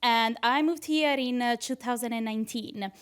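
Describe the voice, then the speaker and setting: A woman's voice: fairly high pitch, normal speed, clear, resonant tone. A young woman with an Italian accent doing a nervous, chatty stand-up comedy set on a club stage, laughing at her own jokes. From Italy. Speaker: PhD student doing stand-up.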